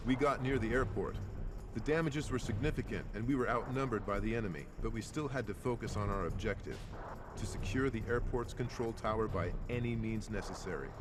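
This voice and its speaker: Man as a grave low-voiced soldier narrator. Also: close to an everyday voice. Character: grave male soldier recounting his war. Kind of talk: scripted narration, war memoir. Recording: distant voice under clear music.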